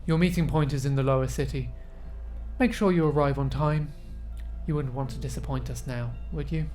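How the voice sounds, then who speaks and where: A woman playing a coldly authoritative senior oracle in a fantasy audio drama, formal, measured and quietly menacing. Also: rumbling low, soft background music. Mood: commanding, veiled threat.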